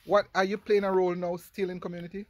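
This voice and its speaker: A man with a Jamaican accent and a lisp, hosting a casual interview in an animated way, often laughing. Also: high-pitched, fast talker, dry recording, lo-fi sound. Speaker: interviewer, show host.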